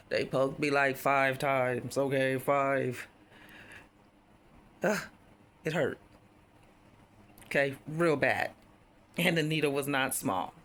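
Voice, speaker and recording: A woman with a deep, raspy voice, hoarse while healing, telling a personal story in a casual, rambling way with many fillers. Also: steady tone, takes it slowly, long pauses, a bit bright. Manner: rambling, frequent fillers and restarts. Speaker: vlogger.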